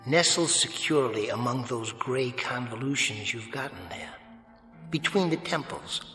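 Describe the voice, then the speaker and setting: A man's voice: medium pitch, moderate pace, pushed and bright, soft background music, lightly acted. A man performs a mocking alter ego for radio drama. He is polite, precise and sardonic, never ruffled. Long reverb surrounds the urbane voice. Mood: condescending.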